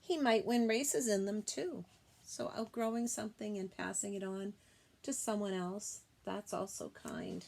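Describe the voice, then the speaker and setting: A woman teacher reading a children's picture book aloud expressively, pausing to explain things gently and simply to young listeners. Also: mid-range voice, big pitch swings, vocal fry, a little hiss or hum.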